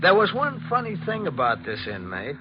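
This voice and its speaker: An actor playing a shady, menacing blackmailer in 1940s radio style, with a folksy, insinuating way of talking. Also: male voice, on the high side, wildly swooping pitch, normal speed. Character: shady, menacing male blackmailer, ex-asylum worker, sly and casual. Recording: muffled, noisy 1940s radio recording.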